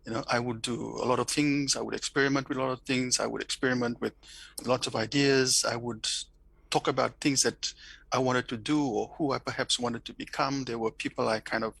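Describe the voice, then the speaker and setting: A man's voice: mid-range voice, fairly even intonation, fairly quick, vocal fry, fairly bright tone, breathy edge, no room sound, poor audio. A middle-aged man from Fiji, speaking English with a Fijian accent, reflecting thoughtfully in an interview with many restarts and repeated words. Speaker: interview guest, academic.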